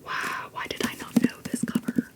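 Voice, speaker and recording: A young woman whispering as she rambles and giggles about favorite books in a casual video. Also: moderate pace. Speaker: video creator. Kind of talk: whispered book-talk ramble.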